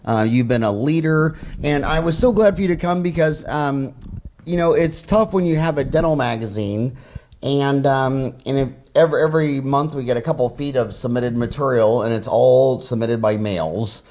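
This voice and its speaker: Halting man interviewing on a podcast. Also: mid-range voice, darkish tone. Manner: halting, repeats phrases, anecdotal. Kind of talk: conversational interview. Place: dining room at home.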